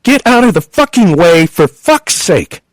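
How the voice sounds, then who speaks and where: A man voicing a woman in labor who yells and swears fiercely at those around her. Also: high-pitched, big pitch swings, unhurried, dry recording, pitched above the performer's own voice. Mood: furious. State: in labor pain. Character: furious woman in labor, sharp-tongued.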